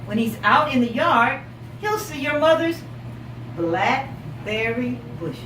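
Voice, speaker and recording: Female classroom storyteller, high animated delivery, encouraging and expressive, captured from a distance in a noisy room. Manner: encouraging, repeats children's answers; expressive, drawn-out emphasis on story words. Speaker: teacher reading a picture book to young children. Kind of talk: interactive read-aloud storytelling with questions. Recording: echoey room, distant mic, loud background noise.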